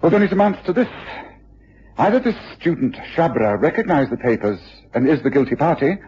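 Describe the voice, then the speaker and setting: A self-assured English detective in a vintage radio play. He questions briskly with lively swings of pitch and a very husky tone. The recording is dull and lo-fi. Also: exaggerated voice. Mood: shrewd, decisive. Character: brisk, shrewd male gentleman detective, probing and self-assured. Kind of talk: period BBC radio drama acting.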